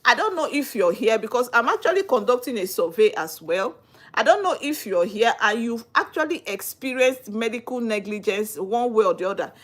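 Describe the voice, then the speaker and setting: A woman's voice: on the high side, lively intonation, normal speed. Nigerian woman, young adult, earnest livestream host, rhetorical questions, chatty with viewers. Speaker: livestream host on legal topics. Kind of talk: live-stream talk answering viewer comments.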